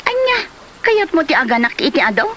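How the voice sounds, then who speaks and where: A woman's voice: high voice, big pitch swings, fairly quick, sharp tone, slight background noise, heavily acted. A woman playing a fussing, scolding mother of a grown daughter in an Ilocano comedy drama, with an Ilocano Filipino accent. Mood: fretful scolding. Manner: fussing, exclaiming, nagging. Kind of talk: Ilocano comedy radio-style drama acting.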